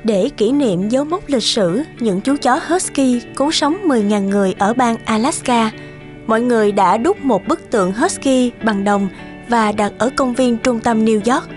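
A woman reading scripted narration for an animated story. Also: fairly high pitch, moderate pace. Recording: light music behind the voice.

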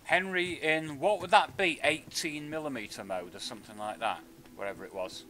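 A man's voice: fairly high pitch, moderate pace, bright, forceful tone, slight background noise. A British man giving live, irreverent, sweary commentary while streaming a video game. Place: indoors at a home streaming setup. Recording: game sound behind the voice. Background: video game audio.

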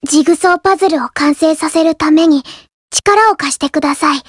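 A woman voicing a shy, polite, earnest young girl from a coffee house in an anime mobile game, soft-spoken and reserved. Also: high-pitched, brisk, no echo, clean studio sound, natural voice. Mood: gently pleased. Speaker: game character voice actor. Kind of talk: scripted anime mobile game character lines.

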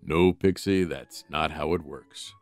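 A man voicing a gruff, world-weary, protective big dog in a comic dub, with a dry, sarcastic, deadpan manner. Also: rumbling low, unhurried, soft background music. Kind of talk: animated comic dub acting.

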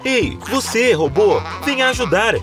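A man's voice: very high-pitched, big pitch swings, unhurried, over music. A man narrating a children's cartoon in an instructive, exclamatory manner.

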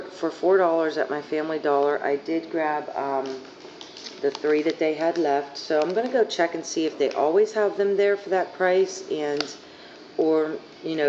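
A young woman with a hoarse, fading voice rambling casually through a makeup haul, full of fillers, filmed on a phone on her living room couch. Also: low-pitched, a little mellow. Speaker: beauty vlogger. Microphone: phone camera at arm's length. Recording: dull lo-fi phone audio, faint hiss.

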